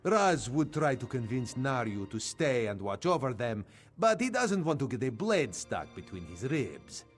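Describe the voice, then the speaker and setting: A man's voice: mid-range voice, big pitch swings, unhurried, hardly any pauses, soft background music. A man voicing a cheerful male cat-folk rogue who talks about himself in the third person, as AI-voiced game dialogue. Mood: playful.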